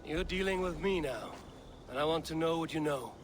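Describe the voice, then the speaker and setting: A man's voice: a little high, moderate pace, a little hiss or hum, natural voice. A man playing a steadfast, self-assured Viking warrior in a video game, speaking directly and with control. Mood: businesslike. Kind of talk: dramatic video game acting.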